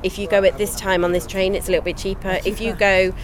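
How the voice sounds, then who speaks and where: A woman's voice: mid-range voice, flat delivery, fast. A woman on railway staff who explains ticket options helpfully, listing them one by one with small fillers. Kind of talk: informal explanation. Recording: crowd noise behind the voice.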